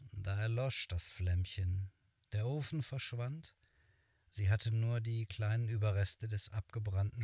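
A middle-aged man reading a fairy tale aloud, slow and hushed, sometimes whispering, in a small room. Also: on the low side, vocal fry, slightly rough, no echo. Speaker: storyteller. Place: small cluttered room, indoors.

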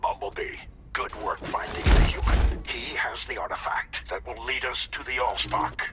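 An actor playing a noble, commanding giant robot leader in a video game, speaking solemnly and deliberately. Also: male voice, mid-range voice, fairly even intonation, close to an everyday voice. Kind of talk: video game cutscene acting. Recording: loud music and engine noise behind.

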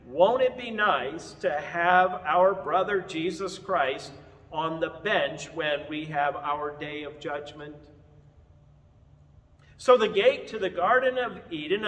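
An older man preaching an Easter sermon from a lectern in a large church, earnest and measured. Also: high-pitched, a bit bright. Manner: measured, earnest preaching with open-hand gestures. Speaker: pastor. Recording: long reverb of a big church hall.

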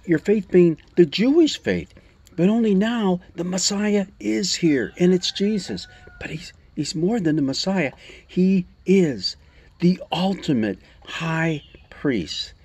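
A man in his sixties giving an informal Bible talk, explaining scripture in halting, emphatic phrases with rhetorical questions. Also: fairly high pitch, a little mellow, husky. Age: 60s. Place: outdoors on a covered porch among greenery. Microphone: phone or camera at arm's length.

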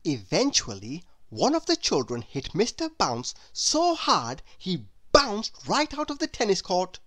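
A woman reading a children's story aloud in an expressive, deliberate way. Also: lowish voice. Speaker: storybook reader. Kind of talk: scripted children's story narration.

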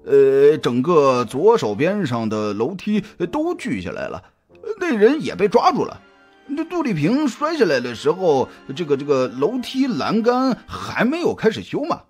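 A man acts out an easygoing private detective in an audio drama. The voice is husky and a little high, swinging widely in pitch as he chats in a halting, colloquial way. Music plays underneath. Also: huge pitch swings, a little mellow, heavily acted. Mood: casual, explaining. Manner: halting, colloquial, with drawn-out hesitations. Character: jovial, chatty male private detective, easygoing friend. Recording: music behind the voice.

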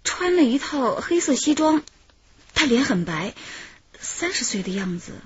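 A woman's voice: sits high, sing-song, unhurried, husky, heavily acted. An actress playing a chatty, self-pitying housewife in her thirties in a radio drama, rambling and gossipy with dramatic sighs. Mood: animated, recounting. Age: 30s. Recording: muffled, roomy radio drama recording.

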